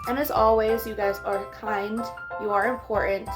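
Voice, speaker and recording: A woman hosting a children's storytime, speaking in simple, deliberate phrases meant for young listeners. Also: mid-range voice, little pitch movement, over music. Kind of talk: read-aloud and talk addressed to young children.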